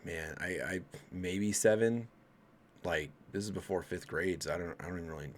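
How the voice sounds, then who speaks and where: A man in his late thirties from Colorado tells his ghost stories straight to camera with a somewhat low voice. He rambles, restarts his sentences and tosses asides to his viewers. The delivery is casual and unscripted. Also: moderate pace. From Colorado, USA. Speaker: vlogger. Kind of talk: casual ghost story telling.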